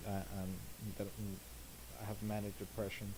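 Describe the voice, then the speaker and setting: A man's voice: on the low side, completely flat delivery, normal speed, a little mellow, slight background noise, poor audio. A man co-hosting a podcast, talking casually with frequent fillers and restarts. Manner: hesitant, stammering, frequent fillers. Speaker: podcast co-host. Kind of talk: casual chat.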